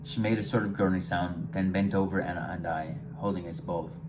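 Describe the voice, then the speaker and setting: A man reading his own first-person short story aloud in a gentle, unhurried way, sometimes stumbling over a word. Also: low-pitched, fairly even intonation. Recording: noticeable background hiss.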